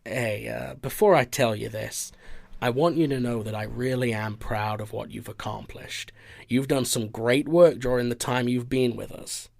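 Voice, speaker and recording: Male investigations boss acted by a man for audio fiction: gravelly edge, earnest and protective, dry close recording. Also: mid-range voice, fairly even intonation, moderate pace, no room sound. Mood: earnest, concerned.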